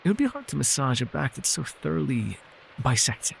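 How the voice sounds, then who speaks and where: A man playing a gentle, weary immortal elf healer with a wry streak, speaking softly in measured, formal phrases for an intimate fantasy ASMR roleplay. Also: medium pitch, sing-song, creaky, slight background noise, rough recording. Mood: wry, chiding.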